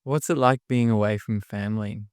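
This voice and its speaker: A man hosts a podcast in a smooth, clear voice, recorded dry and close to the mic. He asks friendly, encouraging questions and keeps the conversation moving. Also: fairly low pitch, fairly even intonation, moderate pace, no echo. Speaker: podcast host. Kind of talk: podcast interview.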